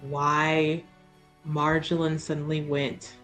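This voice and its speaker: A woman's voice: sits low, flat delivery, takes it slowly, fairly bright tone, soft background music. A woman playing a tabletop game, talking casually and tentatively as she thinks aloud. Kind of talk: casual improvised game talk.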